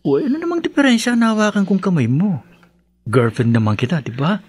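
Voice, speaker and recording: A man's voice: high-pitched, very animated, a little fast, slightly rough, exaggerated voice. A man playing an earnest, courteous young boyfriend in a radio drama. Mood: puzzled, insistent. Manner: earnest, gently insistent, polite.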